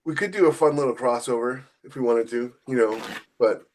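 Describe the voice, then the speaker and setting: A man's voice: mid-range voice, a little fast, darkish tone, slight background noise. A man in casual conversation as a podcast co-host and author, with a wry, joking way of talking. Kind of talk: casual chat about writing.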